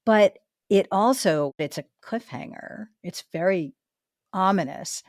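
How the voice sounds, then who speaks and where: A woman's voice: medium pitch, measured pace, dry recording, studio quality. A woman hosting a podcast interview, enthusiastic and conversational, asking about craft. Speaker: podcast host. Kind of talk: casual interview chat.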